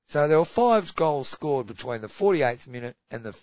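A man co-hosting a hockey podcast, running through match results in casual chat with a slightly croaky voice. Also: mid-range voice, very animated, no room sound, lo-fi sound. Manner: brisk results rundown, trailing off quietly. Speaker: podcast co-host.

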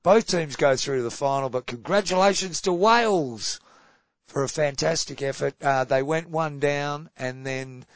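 A man co-hosting a hockey podcast, running through match results in casual chat with a slightly croaky voice. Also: fairly high pitch, dry recording, muffled recording. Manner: brisk results rundown, trailing off quietly. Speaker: podcast co-host.

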